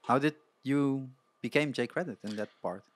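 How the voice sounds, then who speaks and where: Host guy, mid-pitch voice, stops and restarts his questions, studio boom mic. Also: husky. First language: Dutch. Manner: halting, searching for words in questions. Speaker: podcast host. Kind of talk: podcast interview. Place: small podcast studio room. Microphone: studio mic on boom arm, headphones.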